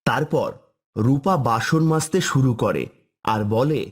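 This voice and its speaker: A man with a husky voice reading scripted narration for a fairy-tale story. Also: medium pitch, measured pace.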